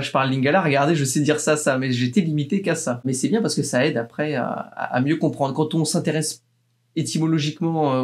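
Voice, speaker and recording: A young man answering interview questions casually, pausing often with fillers and restarting sentences. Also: fairly high pitch. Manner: hesitant, many fillers and restarts, laughs often. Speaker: guest, accent imitator.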